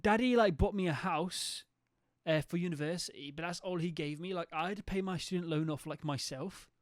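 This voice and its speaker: A patronising rich girl with a drawling, privileged voice, as a male streamer puts her on. She sounds entitled, as if a house from daddy were hardship, and sits a little high. The sound is close and dry. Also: fairly quick, no echo, natural voice. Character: posh young woman with a trust-fund drawl.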